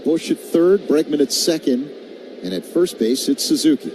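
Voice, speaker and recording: Male baseball radio play-by-play announcer, fairly high voice, clearly noisy ballpark behind him. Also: normal speed. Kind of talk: live baseball radio commentary. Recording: noisy stadium ambience. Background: steady ballpark crowd noise.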